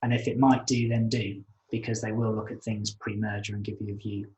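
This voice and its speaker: A man answering questions on a business webinar, explaining finance at length with many pauses, fillers and restarts. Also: lowish voice, flat delivery, darkish tone, low-quality recording.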